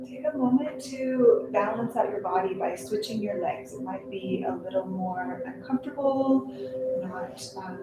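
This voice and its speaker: A woman guiding a meditation as a yoga nidra instructor, speaking gently and leaving unhurried pauses between phrases. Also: mid-range voice, very noisy. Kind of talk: guided meditation. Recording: echoey, distant sound with loud music. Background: loud music.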